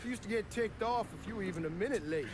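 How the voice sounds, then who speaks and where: A man playing a brash, joking young American crook who teases loudly and swears freely in a game cutscene. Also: sits high, fast talker, noisy background, close to an everyday voice. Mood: teasing. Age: young adult. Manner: teasing, loud, profane.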